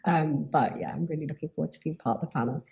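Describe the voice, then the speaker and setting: A tired woman with a slightly croaky voice, talking informally as a remote panellist on a video call. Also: low voice, quick pace, a little mellow. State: tired after a festival. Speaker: horror festival co-director, remote panellist.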